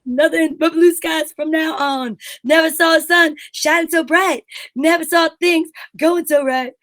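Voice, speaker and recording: A woman's voice: very high voice, fairly even intonation, measured pace, a bit bright, no echo, studio quality. A woman singing an old popular standard as part of an old-time radio style show.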